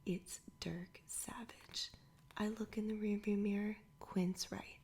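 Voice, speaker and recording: A woman reading a children's adventure story aloud entirely in a soft whisper, in ASMR style. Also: mid-range voice, steady tone, slow pace, slight background noise. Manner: whispers throughout. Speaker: storybook reader.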